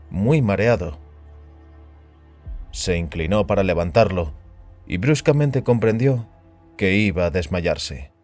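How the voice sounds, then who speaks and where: A man's voice: on the low side, darkish tone. A man reading a science fiction story aloud as a scripted, dramatic narration.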